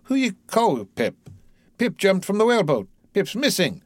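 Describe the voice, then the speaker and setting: Man putting on a high, crazed, singsong young boy. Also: wildly swooping pitch, moderate pace, no echo, exaggerated voice. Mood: frantic singsong. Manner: singsong chanting, rambling. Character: half-mad young cabin boy, frightened, singsong, childlike.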